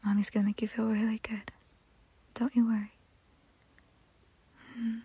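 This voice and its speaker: A woman speaking in a soft, breathy whisper in an intimate roleplay style, slow and soothing. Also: on the high side, level tone, no echo.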